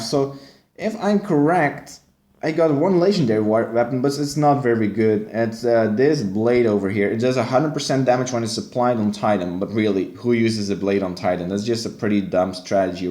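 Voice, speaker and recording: A man's voice: mid-range voice, normal speed, a little mellow. A young man from West Flanders with a West Flemish accent, chatting casually with viewers as he streams a mobile game. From Belgium, West Flanders. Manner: chatty, answers viewer chat while playing. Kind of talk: casual live-stream commentary. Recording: echoey room.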